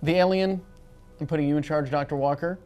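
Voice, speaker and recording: Matter-of-fact woman role-player over soft music. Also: deep voice, fairly even intonation, fairly quick, lo-fi sound. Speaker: tabletop game player playing the captain. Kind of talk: in-character tabletop role-play. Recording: background music.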